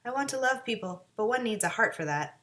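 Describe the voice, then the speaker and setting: A woman voicing a gentle, tender-hearted man made of tin who speaks softly and wistfully. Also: a little high, animated, a little fast.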